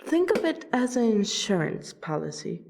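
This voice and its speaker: A woman voicing a proud, protective female vampire princess in an audio roleplay, with wry, deliberate, theatrical phrasing. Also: mid-range voice, sing-song, vocal fry, background noise. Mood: firm, bargaining. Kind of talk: ASMR audio roleplay acting.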